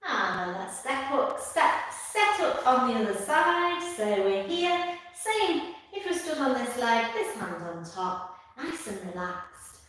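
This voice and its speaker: A woman instructor leads a live tai chi class, giving calm, measured step-by-step cues and repeating her phrases. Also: on the high side. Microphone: far from the speaker. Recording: distant mic in echoey room, faint noise.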